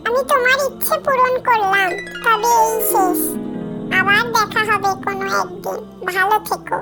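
A gentle magical golden fish from a cartoon, kindly granting wishes. The voice is extremely high and childlike, under loud music. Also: female voice, fairly even intonation, normal speed. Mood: benevolent.

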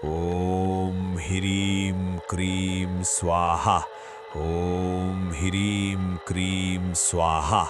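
A man's voice: low voice, flat delivery, drawn-out words, music in the background. A man playing a stern, fearless tantric exorcist in a cartoon, speaking in a commanding, incantatory manner. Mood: chanting.

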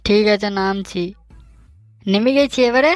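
A man's voice: high-pitched, unhurried, dry recording, soft background music, voice pushed down. A man voicing a gullible, anxious young man in a comic cartoon, pleading and eager, with a rural West Bengal accent.

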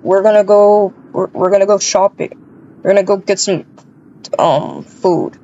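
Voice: mid-range voice, fairly even intonation, a little slow, warm tone, a little hiss or hum, natural voice. Young husband and dad played by a roleplayer, affectionate, coaxing, repeats himself. Game roleplay, muffled sound. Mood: casual, caring. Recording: dull lo-fi microphone.

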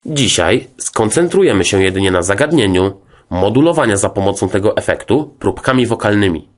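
A man narrating a scripted tutorial as a music software instructor, in a formal, methodical, explanatory way. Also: lowish voice, lively intonation, fairly quick.

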